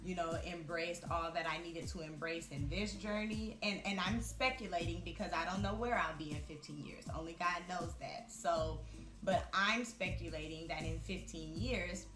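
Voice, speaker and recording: Reflective woman in an echoey room. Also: on the low side. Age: 30s. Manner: reflective, chatty with frequent fillers. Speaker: vlogger. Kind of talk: casual talk to camera. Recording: echoey room with music behind.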